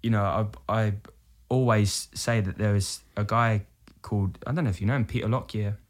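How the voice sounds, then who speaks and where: Male actor, 30s, from the UK, lowish voice, earnest and reflective, candid interview delivery. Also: fairly even intonation, normal speed. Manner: earnest, reflective, self-quoting. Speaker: musical theatre actor, podcast guest.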